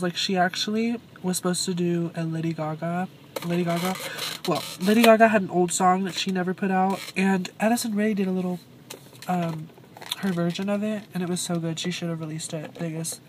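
Bubbly young woman vlogging on a phone. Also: on the low side. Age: young adult. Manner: bubbly, self-admiring and chatty. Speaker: thrift vlogger. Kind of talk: casual vlog chat. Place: inside a parked car and a thrift store. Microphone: phone camera held at arm's length. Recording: noisy phone recording.

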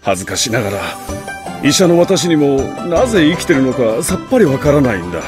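Man as kindly father, modest doctor, polite, mid-pitched, expressive, anime game, music behind. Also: a little fast, close to an everyday voice. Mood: humble, grateful. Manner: polite, soft-hearted. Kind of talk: animated fantasy game voice acting.

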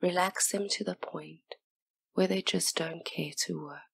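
A woman guiding a hypnosis meditation in a soft, breathy near-whisper, with soothing, gentle, unhurried instruction. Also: lowish voice, fairly even intonation, dry recording, studio quality. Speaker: hypnotist and session guide.

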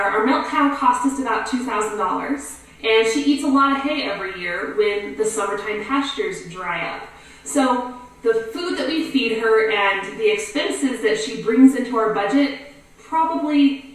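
A woman's voice: mid-range voice, a bit bright. A woman homesteader talking candidly to camera about her own farm life. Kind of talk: casual talk to camera. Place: living room with leather couch. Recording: roomy, from a distance.

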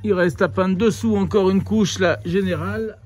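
A man with a fairly high voice talks informally to viewers as a vlogger. His manner is playful and casual, with faint music behind him. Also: steady tone, normal speed, a little mellow. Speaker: vlogger showing his camper build and travels. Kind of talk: casual vlog chat.

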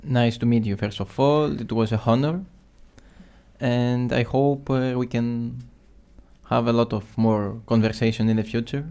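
A man's voice: mid-range voice, fairly even intonation, unhurried, warm tone, clear, resonant tone. A man speaking politely and unscripted as an interviewer.

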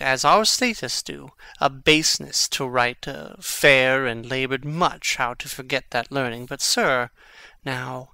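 An actor playing an intense, brooding young prince in a classical Shakespearean dramatic reading, with theatrical, emphatic phrasing. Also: male voice, medium pitch, sharp tone, clear, resonant tone, no room sound.

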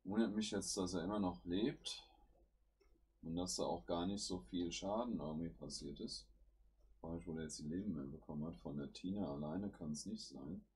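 A man with a cold hosts his gaming channel from home. His voice sits very low and comes out husky. He talks through each move with casual fillers. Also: normal speed, a little mellow. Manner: thinks aloud while playing, with fillers. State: has a cold. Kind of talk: casual gameplay commentary.

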